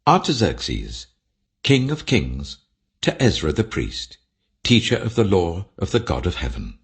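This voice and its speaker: Guy doing an ancient king giving a decree, really low british voice, imperious and stately, big swings in pitch, bible audiobook. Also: wildly swooping pitch, measured pace, gravelly, exaggerated voice. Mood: commanding. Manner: commanding, stately, emphatic. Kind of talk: dramatic audiobook scripture reading.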